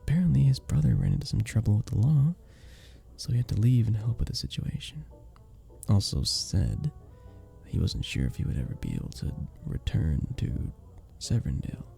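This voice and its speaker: A very dark, mellow voice with a gravelly creak and lively rises and dips. It is a charming, sweet vampire bartender, acted out by a man, soft-spoken and courteous in the formal way of an audio roleplay. He sounds modest and gentle throughout. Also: lowish voice, normal speed, faint music behind, heavily acted. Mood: gentle, confiding. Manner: gentle, polite, slightly formal, bashful chuckles.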